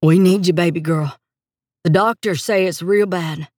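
Woman acting slow-drawling older Texan uncle. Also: fairly even intonation, darkish tone, dry recording, studio quality. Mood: anguished. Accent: Texas. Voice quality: slow drawl, deep bass. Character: stoic older Texan uncle, grief-stricken, tender.

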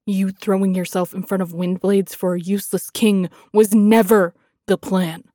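A woman's voice: medium pitch, fairly even intonation, unhurried, dark tone, husky, dry recording, studio quality. A woman voicing a proud, sardonic male lieutenant in a fantasy audiobook, with an intense manner. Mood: anguished fury. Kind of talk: dramatic fantasy audiobook acting.

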